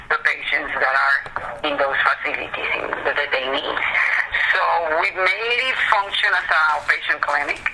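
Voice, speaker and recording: A man's voice: on the high side, sharp tone. A man interviewing someone by telephone, explaining a position hesitantly with repeated restarts, on a noisy recording. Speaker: job interviewer. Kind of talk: explanatory interview talk over the phone.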